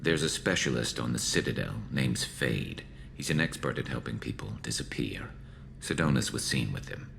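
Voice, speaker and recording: A haunted, vengeful alien mercenary in a video game. The voice sits extremely low with a heavy gravelly creak and a metallic flanged edge. The delivery is measured, dry and brooding, over faint background ambience. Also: male voice, lively intonation, fairly bright tone, slight background noise, exaggerated voice. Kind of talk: video game dialogue acting.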